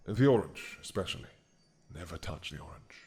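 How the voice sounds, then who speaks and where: A man playing an ancient, regretful dragon king in an audio roleplay, talking slowly and softly with sighs. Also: low-pitched, animated, slight background noise. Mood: brooding. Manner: slow, soft-spoken, musing with sighs.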